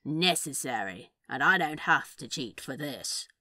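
A teasing male demon from fan fiction as a woman acts him out, lowish and very animated. The delivery is sly, sardonic and casually drawled, recorded close and dry. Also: unhurried, dry recording, exaggerated voice. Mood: smug, mock-offended. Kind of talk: fan fiction dialogue reading.

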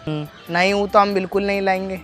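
A young man with a noticeably high voice and a Bhojpuri accent talks in a cheeky, rustic, teasing way, as a prankster chatting on the phone. He is recorded outdoors, with music underneath. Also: fairly even intonation, quick pace, a bit bright. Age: young adult. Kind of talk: staged loud phone chat for a street prank. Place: outdoors in a leafy park.

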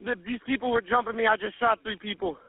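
Man, emergency caller, very high voice, distressed, frantic, repetitive, thin phone audio. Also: steady tone, fast, husky, no room sound. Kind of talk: panicked emergency phone call. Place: on a phone in a parking lot. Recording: tinny phone line, radio-like recording.

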